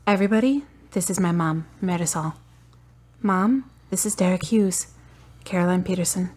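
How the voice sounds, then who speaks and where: An actress playing a strong-willed, emotional grieving daughter in a radio drama, with a slightly husky voice. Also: female voice, fairly low pitch, normal speed, a little mellow. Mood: earnest.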